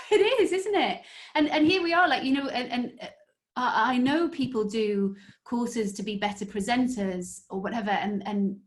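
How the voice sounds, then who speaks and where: A woman guest telling stories in a casual interview, animated and full of fillers. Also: a little high, normal speed. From northeast England. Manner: animated storyteller, many fillers. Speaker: guest, story strategist.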